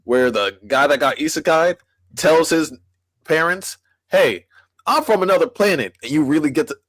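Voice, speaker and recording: A man's voice: a little high, expressive, moderate pace, fairly bright tone, no room sound. A man co-hosting a podcast, talking enthusiastically and rambling with many "like"s. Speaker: podcast co-host. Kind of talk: casual chat.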